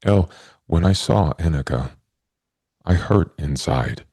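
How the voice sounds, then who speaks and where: A man playing a naive, gentle newborn man in a tabletop roleplay, speaking in simple, earnest, halting phrases. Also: low-pitched, expressive, breathy edge, dry recording, studio quality, full character voice. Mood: puzzled, earnest. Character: naive newborn man, gentle, childlike, literal-minded and puzzled.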